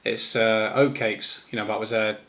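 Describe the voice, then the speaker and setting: A man with an English East Midlands accent giving an informal, unscripted opinion monologue in a rambling, halting manner. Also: lowish voice, little pitch movement, a bit bright. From East Midlands, England. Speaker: solo commentator.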